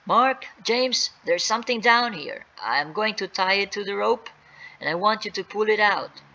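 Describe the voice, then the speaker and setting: A storyteller as a protective, gravelly father. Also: mid-range voice, normal speed, sharp tone, highs cut off. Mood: commanding. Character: calm, protective father coming to the rescue.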